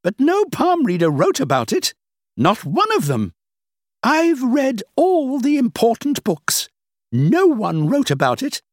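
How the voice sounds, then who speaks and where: A man voicing a sharp, skeptical older woman who lectures and scoffs, in an audiobook reading. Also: very high-pitched, wildly swooping pitch, slow pace, no room sound, clean studio sound, voice pushed up, heavily acted. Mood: scornful. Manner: scornful, lecturing, emphatic.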